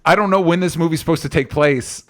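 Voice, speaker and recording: A man co-hosting a movie news podcast, talking informally and thinking out loud as he shares opinions. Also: on the high side, fast. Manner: informal, rambling, conversational with swearing. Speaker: co-host of a film talk podcast.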